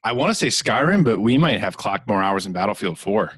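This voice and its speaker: A man's voice: lowish voice, big pitch swings, brisk, fairly bright tone, breathy edge, dry recording, faint music behind. A man co-hosting a casual podcast, chatting with friends and swearing freely. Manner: laid-back, swears freely. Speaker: podcast co-host. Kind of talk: casual chat among friends.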